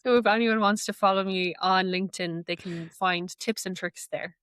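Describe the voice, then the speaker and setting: A woman's voice: medium pitch, steady tone, normal speed, a bit bright, clean tone, dry recording. A woman giving conversational, earnest explanations as an interview guest. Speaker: guest, AI knowledge manager.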